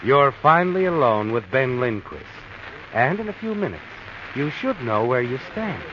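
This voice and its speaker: An actor playing an ominous, all-knowing narrator in an old radio mystery, speaking in a sly, insinuating way on a muffled, noisy recording. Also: male voice, mid-range voice, very animated, normal speed, close to an everyday voice. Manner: insinuating, addresses a character directly. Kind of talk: 1940s radio mystery narration in second person.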